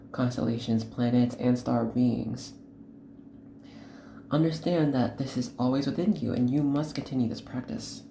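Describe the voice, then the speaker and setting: Female card reader, very deep and mellow, earnest and instructive, halting short phrases in a roomy space. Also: creaky, a little hiss or hum. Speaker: host, tarot card reader. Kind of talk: unscripted tarot reading addressed to the viewer.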